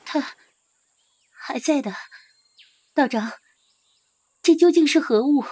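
A woman's voice: high-pitched, lively intonation, slow pace, warm tone. A woman playing an anxious, devoted young wife of an ancient Chinese official in a period audio drama, speaking formally and emotively. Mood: worried, urgent. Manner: formal, archaic, emotive speech.

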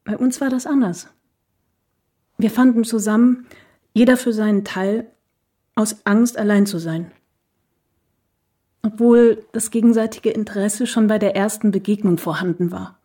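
An actress playing a reflective woman who soberly remembers her writer lover in a radio play, in measured phrases. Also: medium pitch, fairly even intonation, mellow, slightly rough. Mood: wistful, reflective. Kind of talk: documentary radio play monologue.

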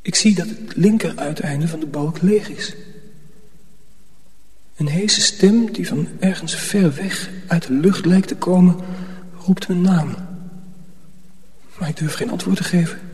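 High-voiced headstrong lad. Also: male voice, unhurried, pauses often, a little mellow. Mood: uneasy, hushed. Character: teenage boy wanderer, curious, headstrong and skeptical. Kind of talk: radio drama acting. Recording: reverberant, faint background noise.

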